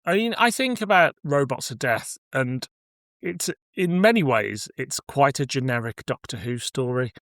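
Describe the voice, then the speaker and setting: A man's voice: mid-range voice, animated, normal speed, a bit bright, no room sound, clean studio sound. A man speaking as a podcast host, chatting casually and introducing items for review.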